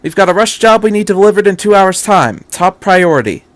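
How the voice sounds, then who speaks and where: A man voicing an urgent, fast-talking doctor who bluffs with authority, persuasive and emphatic, in a fan fiction audiobook. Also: high voice, animated, dry recording, voice pushed up. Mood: brisk, bluffing.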